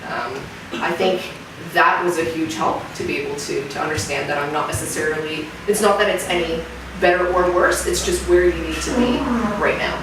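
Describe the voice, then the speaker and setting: A woman's voice: low-pitched, steady tone, moderate pace. A middle-aged woman with a Canadian accent, answering interview questions in a thoughtful, reflective way with frequent restarts. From Singapore. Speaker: interviewee, fitness client. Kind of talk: casual interview testimonial. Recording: echoey room from far, with background noise.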